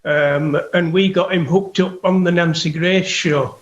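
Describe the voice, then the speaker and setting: Man from the UK, easygoing, halting, fairly high voice, roomy, muffled sound. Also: steady tone. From United Kingdom. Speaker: guest, international investigator. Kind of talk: casual podcast interview. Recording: echoey room, dull lo-fi sound.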